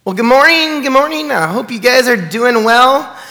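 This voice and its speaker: A man preaching a sermon in a gravelly, nasal voice, hoarse from illness, talking in a chatty way with many fillers. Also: extremely high pitch, lively intonation, moderate pace, sharp tone. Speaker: pastor. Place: church sanctuary. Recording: church hall with long reverb.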